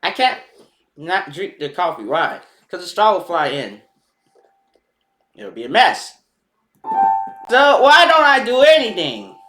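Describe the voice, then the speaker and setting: A man's voice: high voice, very animated, takes it slowly, pauses often. A man talking in a meandering, hesitant casual monologue for a vlog, with frequent ums and restarts. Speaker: vlogger. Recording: loud keyboard piano music over the voice. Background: loud piano and keyboard music.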